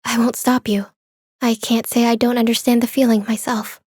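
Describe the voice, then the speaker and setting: A woman playing a polite, detached young observer in a manga dub, formal and deadpan. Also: on the high side, normal speed, breathy edge, dry recording, studio quality. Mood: polite, composed.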